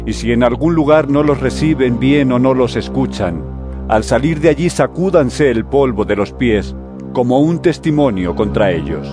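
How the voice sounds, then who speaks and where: Male, mid-pitched actor as authoritative holy teacher, solemn and measured, audio drama with clear music underneath. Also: expressive, lightly acted. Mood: instructing. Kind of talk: dramatized scripture audio acting.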